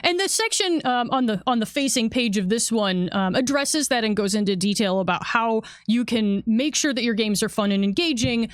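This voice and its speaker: A woman's voice: a little high, expressive, normal speed, hardly any pauses, no room sound, clean studio sound. A woman in a casual, enthusiastic livestream chat, explaining tabletop game material as one of its designers.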